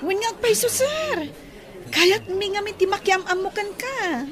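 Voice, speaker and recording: A woman's voice: very high voice, animated, unhurried, a bit bright, some chatter behind, full character voice. A woman playing a friendly, eager young nurse in an audio drama, with a chirpy, sociable manner. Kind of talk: Ilocano audio drama acting.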